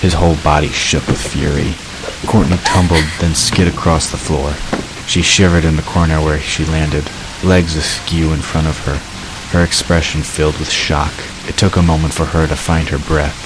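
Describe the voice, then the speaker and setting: A man's voice: rumbling low, level tone, moderate pace, music in the background, poor audio. A man narrating a dramatic fantasy story from a script. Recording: clear hiss behind the narration.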